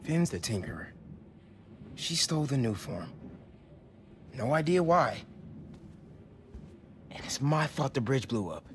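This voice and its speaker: A man's voice: medium pitch, very animated, measured pace, long pauses, slight background noise. A young man playing an earnest, anxious teenage boy superhero in a naturalistic video game cutscene. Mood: dejected. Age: young adult.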